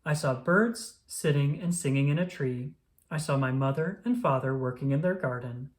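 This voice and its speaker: A reader voicing a calm, reassuring male frog from a children's storybook, telling things patiently and encouragingly. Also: medium pitch, moderate pace, lightly acted. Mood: gentle storytelling.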